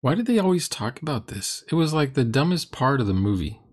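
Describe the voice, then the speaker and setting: A middle-aged man delivers critical reaction commentary in a sarcastic, blunt way. His mid-range voice swings widely in pitch as he mocks what he is reacting to. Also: very animated, fairly quick. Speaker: host reacting to a recorded roleplaying game.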